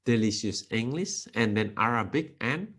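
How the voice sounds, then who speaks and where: A man with an Indonesian accent gives a programming tutorial in careful, non-native English, lecturer style. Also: husky. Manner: slow, careful, with non-native grammar slips; lively intonation with sudden pitch rises.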